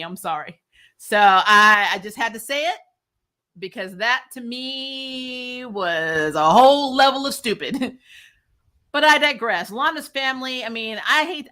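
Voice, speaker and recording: A woman hosting a recap show, giving casual, opinionated TV review chat in an animated, blunt, exasperated way with plenty of swearing. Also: medium pitch. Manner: animated, exasperated, blunt and profane, mock-scolding; laughs often while talking.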